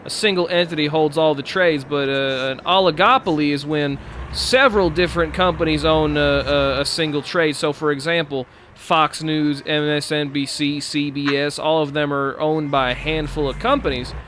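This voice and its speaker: A man chatting casually in an earnest, halting, explanatory way, as a streamer in a game. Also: on the high side, steady tone. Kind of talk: casual chat over a game's CB radio. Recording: loud vehicle engine noise. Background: truck and road sounds.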